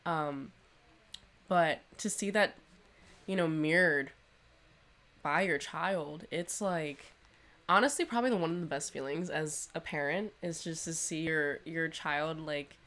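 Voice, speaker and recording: A woman's voice: low voice, a little slow, a bit bright. A young woman co-hosting a casual podcast, chatting loosely with plenty of "like" and swearing. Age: young adult. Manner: casual, swears freely, lots of "like". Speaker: podcast co-host. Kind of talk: casual chat.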